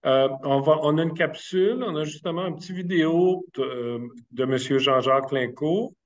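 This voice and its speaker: A male moderator with a Québécois accent, polite and brisk, introducing speakers. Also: fairly high pitch, dull audio. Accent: Québécois French. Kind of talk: moderating a webinar.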